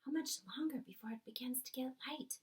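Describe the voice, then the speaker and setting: A woman voicing a small, anxious, cautious girl from a children's storybook in a breathy whisper. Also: high voice, a little fast, distant mic, voice pushed up, lightly acted. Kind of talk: children's storybook character read aloud.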